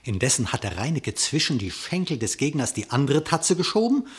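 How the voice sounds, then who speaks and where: An actor playing a storyteller, narrating a radio-play animal fable in verse with theatrical, vivid declamation and a husky voice. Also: male voice, medium pitch, sing-song, a little fast. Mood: dramatic, vivid.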